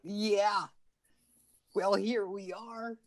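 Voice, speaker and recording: A man's voice: high-pitched, steady tone, drawn-out words, full character voice. An actor playing a lovestruck, cheeky teenage boy in an old-time radio comedy, eager and awkward. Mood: shyly hopeful. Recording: loud background noise, clicks.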